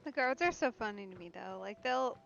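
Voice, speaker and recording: A woman's voice: mid-range voice, lively intonation, a little fast, gravelly, fairly bright tone, highs cut off, soft background music. A woman game streamer giving casual, wry commentary as she plays.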